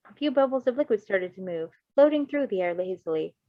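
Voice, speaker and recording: A woman's voice: medium pitch, animated, brisk, no room sound. Woman reading fan fiction, muffled recording. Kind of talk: amateur story narration with casual commentary.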